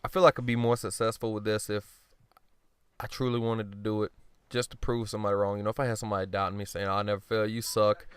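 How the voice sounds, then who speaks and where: A man with a lowish, smooth and resonant voice gives casual commentary over a video game. He rambles bluntly and swears freely, joking at his own expense and clearing his throat often. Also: flat delivery, fast talker. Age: adult. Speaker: gaming commentator.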